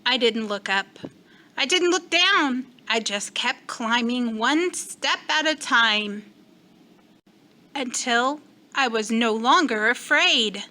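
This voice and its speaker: A woman teacher reading a storybook aloud to young children with deliberate, expressive phrasing. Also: a little high.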